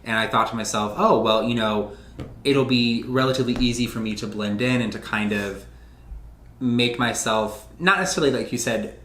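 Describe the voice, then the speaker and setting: A man talks as a guest on a podcast. His delivery is conversational and candid, like a relaxed chat between friends. The room around his voice is noticeably echoey. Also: mid-range voice, moderate pace, slight background noise. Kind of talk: casual chat.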